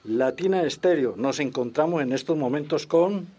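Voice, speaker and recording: A man hosting a radio interview with formal, deliberate phrasing. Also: on the high side, a little mellow, husky.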